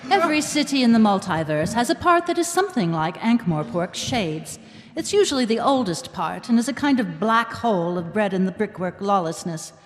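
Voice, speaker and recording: A woman's voice: mid-range voice, expressive, fairly quick, close to an everyday voice. A woman playing a wry storyteller, giving droll scripted narration for a comic fantasy in live audio theatre. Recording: live hall, music underneath.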